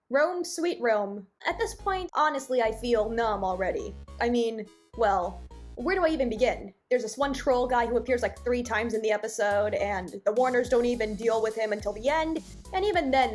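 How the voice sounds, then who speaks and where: A woman giving an opinionated video review in an emphatic, blunt, disgruntled way. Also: on the high side. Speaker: animation reviewer and video host. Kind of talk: opinionated video review, part scripted narration and part off-the-cuff commentary.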